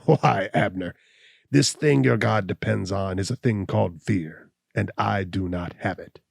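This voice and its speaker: A man voicing a scornful, cynical hunchbacked old landowner who speaks slowly and defiantly. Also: on the low side, gravelly, husky, no echo, clean studio sound, heavily acted.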